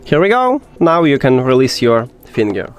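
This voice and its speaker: A man narrating a step-by-step tech tutorial in informal, slightly non-native English phrasing, pausing hesitantly as he explains. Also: medium pitch, very animated, clear, resonant tone, faint music behind.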